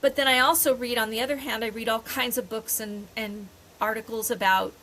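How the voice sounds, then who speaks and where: Older woman, very bright voice, casual podcast chat, rambling, faint background noise. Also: a little high, fairly even intonation, fairly quick, low-quality recording. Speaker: podcast co-host.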